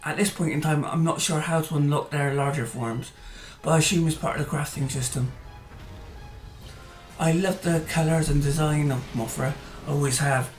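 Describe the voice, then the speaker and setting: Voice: mid-range voice, fairly even intonation, moderate pace. Rambling casual gamer in a roomy echo. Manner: chatty and rambling, with hesitant fillers and restarts. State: voice tiring. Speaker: gaming channel host. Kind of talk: casual gameplay commentary. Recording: roomy echo, faint music behind.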